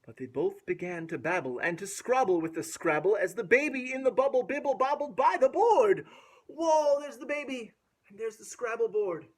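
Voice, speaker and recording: A man reading a rhyming picture book aloud to young children in a playful, expressive way with dramatic emphasis. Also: very high-pitched, normal speed. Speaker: children's storyteller.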